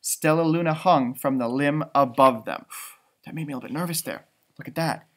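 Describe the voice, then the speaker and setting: A man in his thirties with an American accent reading a picture book to children, adding chatty, wondering asides in an expressive way. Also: on the high side, a little fast. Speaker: storybook reader for children. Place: indoors, a library with bookshelves.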